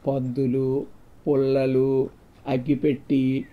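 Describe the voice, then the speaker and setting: A man's voice: mid-range voice, monotone, slow pace, voice pushed down, lightly acted. A man voicing a bold, plain-spoken young village hero in a storybook tale. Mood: confident. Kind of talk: storybook dialogue. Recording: dull, muffled lo-fi sound.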